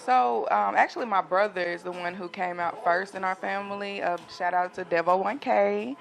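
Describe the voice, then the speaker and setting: A young woman from Tennessee with a Southern accent, shy and soft-spoken, answering interview questions and laughing often. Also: medium pitch, fairly even intonation, moderate pace, creaky. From Jackson, Tennessee. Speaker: singer guest. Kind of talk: casual interview.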